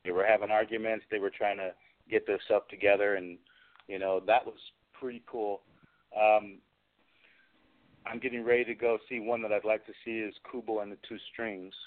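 A man's voice: fairly low pitch, little pitch movement, moderate pace. A man chatting casually as a guest in a radio interview, rambling through his opinions. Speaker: guest, film special effects artist. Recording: band-limited phone-like radio sound.

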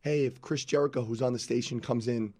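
A man hosting a podcast in casual chat. His voice is hoarse, and he talks in an easygoing, chatty way on a studio headset. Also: mid-range voice, steady tone, brisk, a little mellow. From Staten Island, New York. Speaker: podcast host. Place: radio studio.

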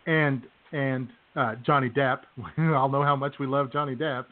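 A male podcast host with a medium-pitched voice, heard through a narrow, phone-like sound. He chats loosely and banters with co-hosts in a jokey, mock-enthusiastic manner. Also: steady tone, normal speed. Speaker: host of a comedy radio podcast. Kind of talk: casual jokey chat with co-hosts. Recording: tinny phone-like band-limited sound.

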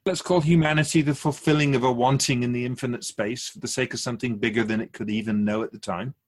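Give this voice to a wise, otherworldly keeper of souls played by a man in a radio drama. The delivery is measured and formal, with a slightly husky tone at a middle pitch. It counsels humanity like a patient teacher. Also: steady tone, natural voice. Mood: measured, explaining. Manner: measured, formal, didactic, rhetorical questions.

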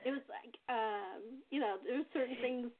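A woman from California chats casually in a fairly high voice. She tells anecdotes in a halting, conversational way. The sound is thin and narrow, like a phone call. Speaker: radio playwright in a cast chat. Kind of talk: casual chat, telling family anecdotes.

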